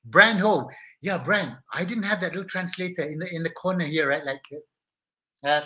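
A man's voice: a little high, normal speed. A middle-aged Malaysian man with a Malaysian accent, hosting a live online talk show and chatting energetically with viewers in a small studio. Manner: energetic and hyper, greeting viewers by name. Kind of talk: casual live-stream chat with viewers. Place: small indoor studio set with brick wall.

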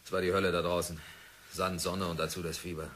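Obsessed prospector, deep low voice, sardonic, vintage radio play. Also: male voice, level tone, quick pace, fairly bright tone, slight background noise. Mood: weary. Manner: defiant, self-assured, sardonic. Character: stubborn obsessed male diamond prospector, middle-aged. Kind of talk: 1960s radio drama acting. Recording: old radio play with faint music.

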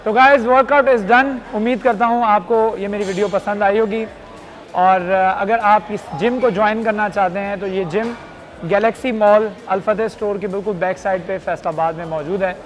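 A man's voice: high voice, fairly even intonation, moderate pace, soft background music. A man, a fitness vlogger giving an earnest motivational talk to camera, slipping English phrases into his speech. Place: indoor gym with green wall. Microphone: lapel mic. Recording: reverberant gym room.

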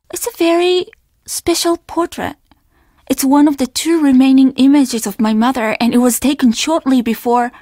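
A woman's voice: high voice, no room sound, clean studio sound, full character voice. A woman voicing a courteous, reassuring young inn receptionist in a video game, eager to please. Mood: apologetic. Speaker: voice actor playing an inn receptionist. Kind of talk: video game dialogue lines.

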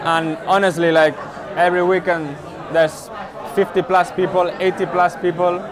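A man with a high, fairly flat voice interviews people in a casual way. Chatter from a busy crowd is clearly heard behind him. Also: normal speed, rough recording. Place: large busy indoor venue. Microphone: clip-on lapel.

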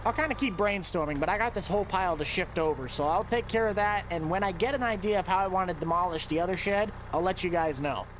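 A man giving casual, chatty commentary over his gameplay, talking straight to his viewers with excitable outbursts. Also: high voice, fast, few pauses, slight background noise. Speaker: gameplay video host.

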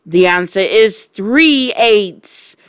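A woman performs a storybook headmistress, fierce and domineering. She barks her words loudly and slowly, with heavy contempt for whoever she addresses. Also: mid-range voice, expressive, smooth, no echo, clean studio sound. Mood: contemptuous bullying. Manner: barking, domineering.

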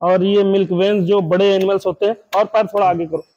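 A man's voice: high-pitched, level tone, brisk, a little mellow, highs cut off. A man who runs a dairy farm, earnestly explaining each cow's traits to a visitor, mixing in English farm terms. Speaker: dairy farm owner selling cows. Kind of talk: sales pitch walkthrough.

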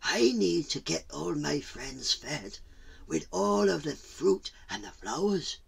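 A storyteller voicing a polite, soft-spoken leopard with a tentative, courteous manner for a children's tale. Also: medium pitch, huge pitch swings, slow pace, creaky, slightly rough, voice pushed up, heavily acted.

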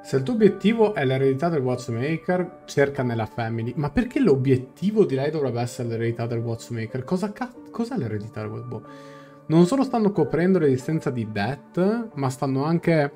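A man's voice: mid-range voice, big pitch swings, a little fast, warm tone. A young man doing a live game reaction as a streamer, translating dialogue aloud. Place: small room indoors, on webcam. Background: game music.

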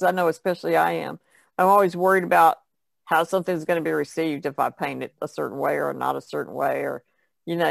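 A woman's voice: on the low side, vocal fry, no echo. A woman talking casually as a panelist on a podcast. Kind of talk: casual chat.

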